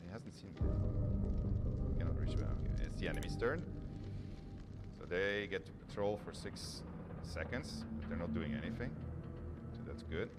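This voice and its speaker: A man's voice: low voice, animated, normal speed. A man giving casual live commentary on a game demo, informally thinking aloud as he plays. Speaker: game developer presenting a gameplay demo. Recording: loud game music behind the voice. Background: game music and sound effects.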